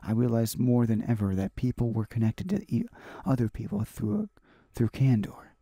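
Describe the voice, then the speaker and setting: A man with a mellow, creaky voice reads a visual novel aloud as a gaming host. His delivery is halting, with stumbles and repeated phrases. The sound is close and dry. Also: mid-range voice, very animated, dry recording. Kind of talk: reading a visual novel aloud for a let's play.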